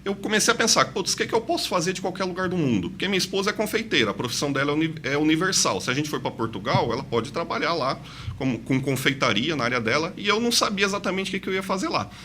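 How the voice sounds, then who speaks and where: A man's voice: on the high side, expressive, rapid-fire, pushed and bright, slight background noise. A man of 46 from inland São Paulo with a mixed São Paulo and Santa Catarina accent, telling his story as a podcast guest. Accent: mixed São Paulo and Santa Catarina Brazilian. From interior of São Paulo state, Brazil. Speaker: podcast guest, investment advisor. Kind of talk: conversational interview, personal storytelling.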